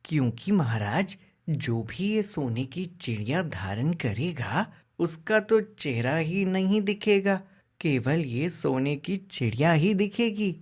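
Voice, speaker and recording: A woman plays a clever young boy from a moral-story cartoon. His voice is low, with lively swings of tone. He speaks very slowly and politely, sure of his persuasive wit. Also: breathy edge, full character voice. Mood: sly, persuasive. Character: clever, confident young boy advising a king.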